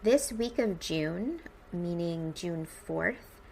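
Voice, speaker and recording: A woman's voice: on the low side, animated, extremely slow, gravelly, a little mellow, slight background noise, rough recording. An American woman in her forties hosting a personal podcast, speaking informally with upbeat emphasis. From United States, suburban Midwest. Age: 40s. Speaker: podcast host.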